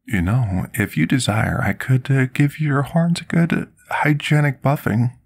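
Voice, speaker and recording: A man playing a devoted potion master in an intimate fantasy ASMR roleplay, speaking husky and gravelly, with formal, courteous, slightly hesitant deference. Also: medium pitch, expressive, a little slow, heavily acted. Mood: soothing, tender.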